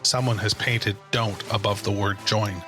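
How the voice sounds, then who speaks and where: A man's voice: lowish voice, steady tone, measured pace. A man giving scripted dramatic narration as the narrator of an audio drama. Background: music.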